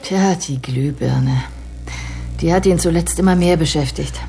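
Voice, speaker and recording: Low, creaky-voiced, composed female care professional. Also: warm tone. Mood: calm, matter-of-fact. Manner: measured, analytical, explanatory. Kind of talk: radio drama dialogue.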